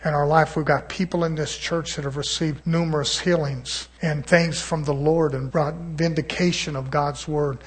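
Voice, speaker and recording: A middle-aged man, a pastor giving a testimony sermon in church, rising to loud exclamations of praise. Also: a little high, fairly even intonation, normal speed, hardly any pauses, breathy edge.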